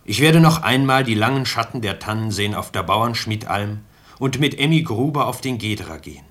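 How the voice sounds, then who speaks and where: Nostalgic man, lowish pitch, lyrical radio monologue, reflective. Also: expressive, brisk, a bit bright. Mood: wistful, reflective. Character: wistful man recalling cherished memories. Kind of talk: poetic radio play monologue.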